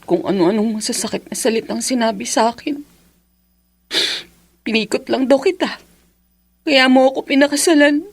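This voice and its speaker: An actress playing a meek, hurt young wife and mother in a radio drama, soft and plaintive, with a husky voice and a Filipino accent. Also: a little high, moderate pace, long pauses. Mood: hurt, tearful.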